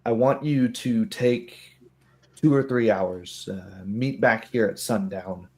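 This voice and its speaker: A man voicing a weary, commanding woman leader in a fantasy tabletop game, speaking deliberately and haltingly. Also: fairly low pitch, darkish tone. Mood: weary, resolute.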